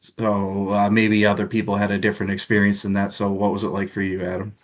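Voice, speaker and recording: A man's voice: lowish voice, completely flat delivery, brisk. A young man co-hosting a casual book discussion show, rambling through his thoughts with frequent laughs and the odd crude joke. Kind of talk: casual chat.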